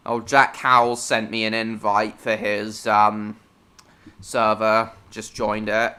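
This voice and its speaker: A man's voice: on the low side, level tone, takes it slowly, bright, forceful tone. A man from Hong Kong chatting casually on a live stream while gaming, answering viewers by name and restating his points. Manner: answers viewers by name, rambling and repeating himself; bursts into swearing at game mishaps.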